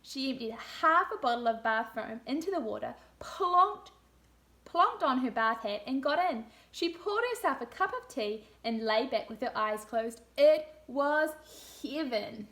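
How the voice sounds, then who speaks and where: A woman reading a picture book aloud to young children in a deliberate, animated storytelling manner. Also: high voice. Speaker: teacher reading picture books to a class.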